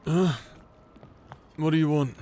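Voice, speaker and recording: An actor playing a crude, irreverent rogue warrior in a fantasy audio roleplay, talking in a casual, sarcastic, teasing way. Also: male voice, a little high, fairly even intonation, slow pace, dark tone, slight background noise. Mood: teasing, bored. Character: cheeky, crude, irreverent bastard warrior man, sardonic rogue.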